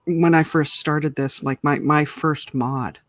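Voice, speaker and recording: A man's voice: on the high side, fairly even intonation, slow pace, a bit bright, no echo, clean studio sound. A man co-hosting a casual live show, joking around and stammering repeated words. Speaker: co-host.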